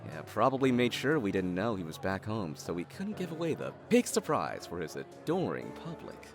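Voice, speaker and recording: A wry tycoon's son in an audio drama. His voice is on the low side and animated, full of dry understatement, with soft music behind. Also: normal speed. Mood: sarcastic. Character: wry, easygoing adult elder brother, tycoon's son. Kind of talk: naturalistic audio drama acting.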